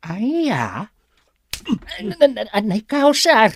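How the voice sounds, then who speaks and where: An actor with a Filipino accent playing a timid, flustered servant who talks in a hesitant stammer. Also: male voice, high voice, huge pitch swings, drawn-out words, a bit bright, no echo, exaggerated voice. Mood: meek, curious.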